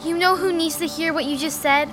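Very high-voiced young daughter. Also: female voice, steady tone, a little fast, music in the background. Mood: coaxing. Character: frank, peacemaking young daughter. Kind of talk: family audio drama acting.

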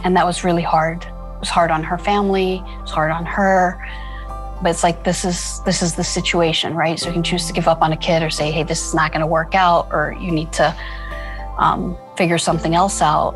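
A woman telling stories from her work in an interview, earnest and reflective, with many pauses and fillers. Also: lowish voice, level tone, faint music behind, rough recording. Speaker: founder of a refugee school. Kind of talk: interview storytelling.

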